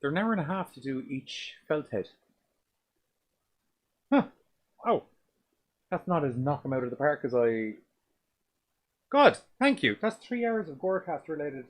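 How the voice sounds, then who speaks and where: Male podcast co-host, deep voice, loose rambling delivery with casual swearing. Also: big pitch swings, unhurried, long pauses. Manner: sweary, rambling, restarts often. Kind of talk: casual movie review chat.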